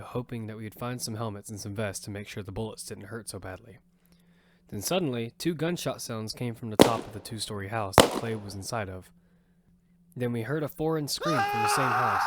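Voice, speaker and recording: A man narrating an audio drama in first person as its lead, telling the story with quick urgency over music. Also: mid-range voice, a bit bright, slight background noise, natural voice.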